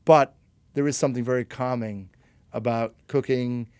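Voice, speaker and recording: A male podcast host in a casual interview, asking questions haltingly and restarting them. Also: mid-range voice, steady tone, darkish tone, smooth, no echo.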